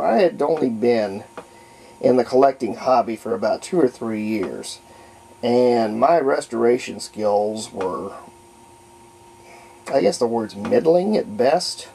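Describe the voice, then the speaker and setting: American guy doing a hobby show and tell, slow and halting, fry in his voice, bit of background noise. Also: medium pitch, mellow, poor audio. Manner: halting, folksy, trails off mid-phrase. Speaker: hobbyist presenter demonstrating a homebuilt radio. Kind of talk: casual unscripted show-and-tell. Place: indoors at a cluttered hobby desk.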